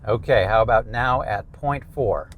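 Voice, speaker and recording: A man's voice: fairly low pitch, unhurried. A man presenting a product range test in a casual, conversational way from inside a car.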